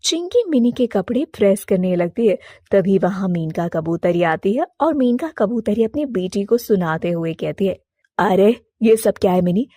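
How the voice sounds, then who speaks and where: A woman narrating a cartoon moral story in an expressive storytelling manner. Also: medium pitch, moderate pace, hardly any pauses, no echo, studio quality.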